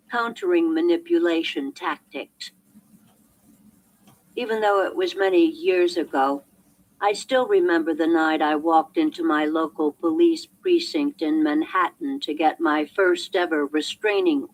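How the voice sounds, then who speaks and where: A woman reading as an audiobook narrator in a scripted narration style, heard as a replayed recording. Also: on the low side. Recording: noisy replayed audiobook playback.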